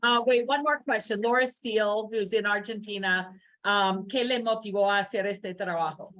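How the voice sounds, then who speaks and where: A woman hosting a presentation in an informal, halting, unscripted way. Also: medium pitch, a bit bright. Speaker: event host and moderator.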